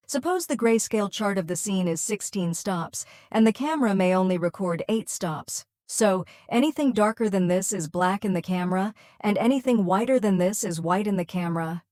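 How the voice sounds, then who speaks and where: A woman's voice: medium pitch, a little mellow, dry recording, studio quality. A woman student reading out a scripted explainer presentation step by step.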